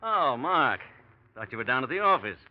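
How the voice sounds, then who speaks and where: A man's voice: mid-range voice, very animated, normal speed, natural voice. A man playing a nervous accused businessman in 1940s radio acting. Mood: puzzled. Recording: muffled, noisy old radio recording.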